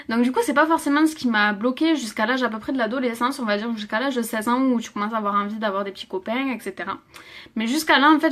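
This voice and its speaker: A young woman from Toulouse with a slightly high voice tells her own story to viewers. She talks haltingly, full of fillers, and sounds close to tears. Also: a bit bright. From France. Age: 20s. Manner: hesitant, many fillers, laughs and reads viewer comments. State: emotional, near tears. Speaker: livestreamer. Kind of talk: personal story on a live stream.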